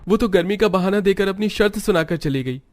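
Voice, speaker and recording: A man's voice: high voice, fairly quick, a little mellow, natural voice. A man voicing a firm, aggrieved husband in a cartoon story, speaking emphatically. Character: put-upon men of a household, firm and aggrieved.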